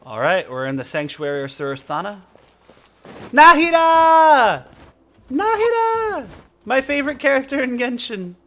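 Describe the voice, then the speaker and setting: Bright-voiced man doing theatrical game commentary. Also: very high-pitched, huge pitch swings, unhurried. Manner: theatrical, rambling, talks back to the characters. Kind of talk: casual running commentary over game dialogue.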